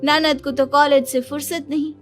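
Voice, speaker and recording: A woman's voice: sits high, level tone, moderate pace, soft background music, lightly acted. A woman voicing a self-pitying, boastful young wife in a cartoon story, complaining dramatically.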